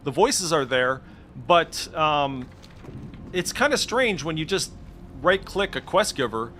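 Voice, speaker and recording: A man chats casually through a game add-on demo. His voice is bright and forward, his manner informal and profane, and some faint noise sits behind him. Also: fairly high pitch, animated, takes it slowly. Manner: informal, swears freely. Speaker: streamer demonstrating game add-ons. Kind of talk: casual screen-share commentary.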